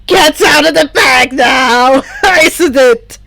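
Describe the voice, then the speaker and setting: A woman's voice: high voice, slow, vocal fry, raised voice, a crowd in the background, exaggerated voice. Woman putting on a smirking, mischievous young man. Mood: gleeful smirking. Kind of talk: fan fiction character reading.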